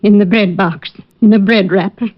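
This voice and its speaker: A woman's voice: medium pitch, steady tone, moderate pace, a little hiss or hum, natural voice. An actress playing a weary, grieving middle-aged mother, subdued and polite, in old-time radio drama style. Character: grieving, weary mother of a murdered grown son. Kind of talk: 1940s-50s radio drama acting. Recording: dull, dry vintage radio recording.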